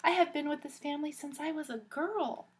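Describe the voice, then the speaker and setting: A woman's voice: sits high, a little fast, voice pushed up, lightly acted. A woman reading aloud and voicing a loyal older housekeeper who begs not to be sent away in a storybook. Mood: pleading. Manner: pleading.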